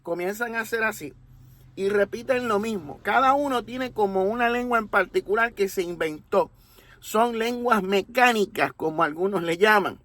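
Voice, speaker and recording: A man from Puerto Rico with a Puerto Rican accent, explaining a religious topic in a deliberate, teacherly way, often checking in with his listeners. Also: sits high, a bit bright. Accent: Puerto Rican Spanish. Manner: deliberate, teacherly, with rhetorical tags. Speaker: religious commentator. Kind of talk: explanatory monologue.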